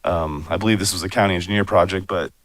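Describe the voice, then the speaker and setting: Raspy guy with a cold, super low voice, talking to city council, dry sound, lots of um and you know. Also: steady tone, quick pace, no room sound. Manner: conversational, many fillers and asides. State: hoarse from a cold. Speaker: bicycle and pedestrian planner presenting. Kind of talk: public meeting presentation. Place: city commission chamber.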